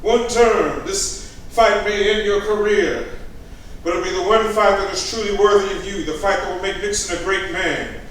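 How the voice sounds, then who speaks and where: An actor playing a fiery thirty-one-year-old activist in a staged play reading, blunt and impassioned. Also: male voice, high-pitched, moderate pace, a bit bright, slight background noise. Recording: echoey room from far off.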